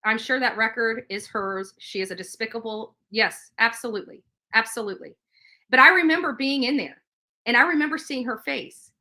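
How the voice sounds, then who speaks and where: Bright, high-voiced woman on an emphatic livestream rant. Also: moderate pace. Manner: combative, emphatic, repeats phrases for stress. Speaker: livestream host. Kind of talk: livestream commentary rant to viewers.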